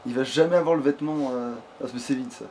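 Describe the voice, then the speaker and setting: A man's voice: mid-range voice, fast talker, mellow, a little hiss or hum. A man giving casual, rambling gameplay commentary as a let's play host, swearing freely and talking crudely.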